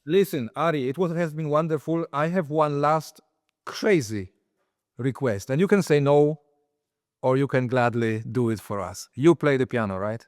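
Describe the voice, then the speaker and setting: A man hosting an interview, enthusiastic and quick to praise, stumbling and restarting as he talks. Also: medium pitch, a little mellow, no room sound, clean studio sound. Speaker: interviewer host. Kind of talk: casual interview conversation.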